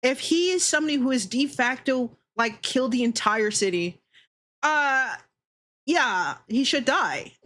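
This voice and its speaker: A woman with a fairly high voice talks as a livestream host in a casual, chatty style. She is opinionated and sweary, often repeating a phrase to drive it home. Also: expressive, unhurried, fairly bright tone. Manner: opinionated, heavy swearing, emphatic repetition.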